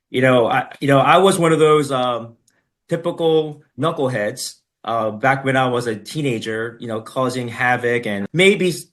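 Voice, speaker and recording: A middle-aged man, a podcast guest, telling his story in relaxed conversation, with many fillers and "right?" tags. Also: medium pitch.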